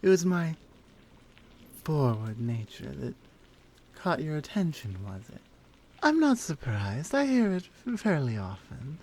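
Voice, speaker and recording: A woman voicing a flirty, confident lioness in an intimate, teasing ASMR roleplay, softly coaxing and often slipping toward a whisper. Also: low-pitched, sing-song, a little slow, pauses often, heavily acted. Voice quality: soft, breathy. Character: flirty, confident, forward adult lioness armorsmith.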